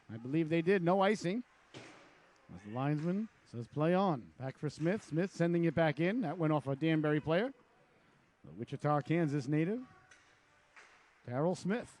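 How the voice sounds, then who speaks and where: Man, hockey play-by-play announcer, raspy with a cold, slightly high voice, chatty. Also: a little mellow. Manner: chatty asides between rapid calls. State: hoarse from a cold. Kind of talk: live hockey play-by-play commentary.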